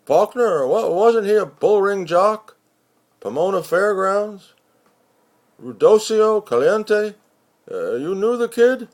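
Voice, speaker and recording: Man putting on a high, pushy broke drinking buddy. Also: animated, slow. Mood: puzzled, excited. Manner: emphatic, slangy, colloquial. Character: pushy, broke male drinking acquaintance, slangy and agitated.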